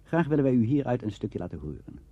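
A man's voice: mid-range voice, big pitch swings, fast talker, husky, dry recording. A man giving scripted documentary narration in a formal, lecturing manner. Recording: dull, muffled old recording.

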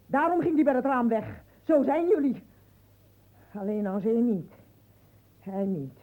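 A woman's voice: on the high side, a little slow. A woman acting a bitter, accusing woman who taunts the men around her in a Dutch radio play. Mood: bitter, taunting. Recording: muffled phone-like old radio recording.

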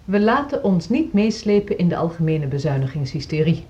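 An actress playing a brisk, formal chairwoman in a radio drama, with measured, emphatic delivery. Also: on the low side, very animated, warm tone. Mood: formal, businesslike. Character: brisk, formal woman chairing a music school board meeting. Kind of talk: radio drama acting, formal meeting address. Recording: dull lo-fi radio drama recording.

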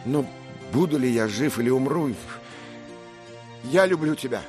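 A man's voice: medium pitch, lively intonation, a little slow, a little mellow, faint music behind, voice pushed up, natural voice. A man voicing an earnest, brave young squire who speaks with passion in an audiobook. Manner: impassioned, emphatic.

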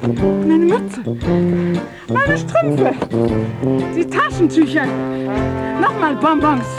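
A woman's voice: very high voice, huge pitch swings, slow pace, over loud music, exaggerated voice. An actress playing a distraught woman in a radio drama, crying out plaintively in short, broken, repetitive phrases. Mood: desperate lament. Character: distraught woman lamenting lost luggage, husband and childhood.

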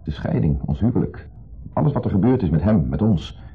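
An actor playing an insecure, jealous husband in a 1970s radio play, accusing, brooding and sardonic. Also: male voice, sits low, sing-song, a little fast, slightly rough, full character voice. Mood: bitter, agitated. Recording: muffled phone-like old radio recording.

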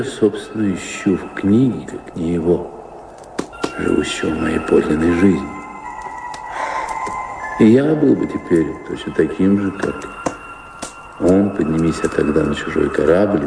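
An actor playing a weary, wistful middle-aged baron in a radio play, brooding and reflective in measured phrases. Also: male voice, low voice, gravelly, background noise. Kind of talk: Russian radio play acting. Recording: echoey reverberant studio drama with prominent music.

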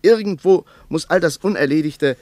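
An actor playing a troubled, reflective West German traveller in a radio play, with an emphatic, rhetorical way of talking. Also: male voice, a little high, moderate pace, darkish tone, no room sound, close to an everyday voice. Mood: troubled, indignant.

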